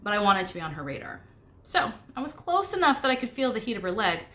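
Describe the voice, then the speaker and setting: A woman's voice: mid-range voice, big pitch swings, fast talker, a bit bright. A woman narrating a middle grade novel aloud, expressively and with a snarky first-person manner. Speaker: narrator reading a book aloud.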